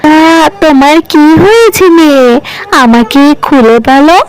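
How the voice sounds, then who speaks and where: Fairy-tale flower queen, played by a woman: high, gentle, pleading and benevolent cartoon spirit. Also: animated, measured pace, rough recording. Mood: kindly.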